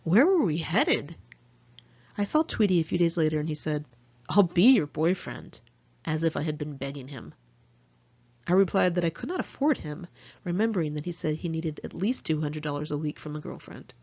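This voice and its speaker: A woman's voice: low voice, animated, normal speed, a little mellow, breathy edge, lo-fi sound. An American woman narrating her own memoir in a candid, conversational, salty-tongued way. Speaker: author reading own memoir. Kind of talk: first-person audiobook narration.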